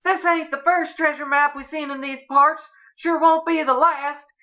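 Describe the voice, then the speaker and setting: A woman's voice: high-pitched, fairly even intonation, a little slow, sharp tone, dull audio, voice pushed up. A woman voicing a plain-spoken, hearty older ranch woman with an emphatic rustic drawl in a story reading. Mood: hearty, firm.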